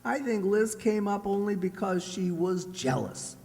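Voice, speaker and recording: A man's voice: high voice, lively intonation, unhurried, creaky, mellow, exaggerated voice. A man playing a jealous, blustering husband in an old-time radio sitcom, with a theatrical delivery. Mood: smug, gleeful. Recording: echoey hall.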